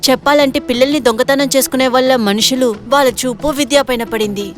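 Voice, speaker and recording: A woman narrator reading a scripted moral story for an animated video. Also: high voice, fast talker, faint music behind.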